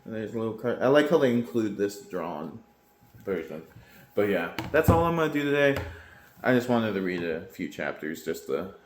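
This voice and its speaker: A man reading a book aloud for his channel at a steady pace, sometimes stumbling over a word and correcting himself. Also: lowish voice, normal speed, a little hiss or hum. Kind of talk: reading a book aloud, then casual commentary. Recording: echoey room.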